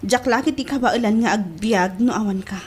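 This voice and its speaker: A woman's voice: medium pitch, moderate pace, slightly rough, natural voice. An actress playing a devoted, lovestruck young woman in an emotive Ilocano audio drama, drawing out her words. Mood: tender, devoted. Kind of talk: Ilocano radio-style drama acting.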